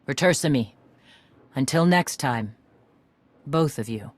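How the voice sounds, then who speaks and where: A woman playing a gruff, battle-worn warrior clan leader in a video game, with a blunt, weary manner. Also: extremely low pitch, animated, takes it slowly, dry recording, exaggerated voice. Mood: firm, wry.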